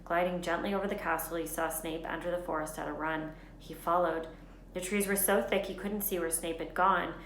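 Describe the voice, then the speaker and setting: A woman teacher reading a children's novel aloud in a lively, expressive way, fixing small slips as she goes. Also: fairly low pitch, fairly quick. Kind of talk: storybook read-aloud narration. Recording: roomy echo, faint background hiss.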